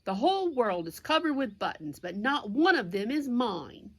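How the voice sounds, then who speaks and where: A woman's voice: on the high side, big pitch swings, normal speed, slightly rough, dry recording, pitched above the performer's own voice. A woman voicing a grumpy, fussing male toad from a children's storybook, complaining emphatically. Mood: angry.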